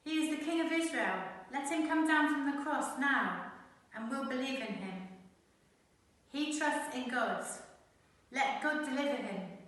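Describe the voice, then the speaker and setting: High, echoey mocking chief priest acted by a woman. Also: expressive, unhurried, a bit bright. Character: mocking chief priest deriding a condemned man. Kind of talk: dramatised gospel reading by church member. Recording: very echoey room, far from mic.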